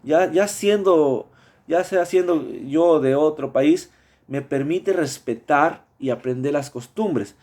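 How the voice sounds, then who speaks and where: A man's voice: on the high side, slow, darkish tone. A man from Mexico with a Mexican Spanish accent, presenting a class project in a hesitant way, with many fillers and restarts.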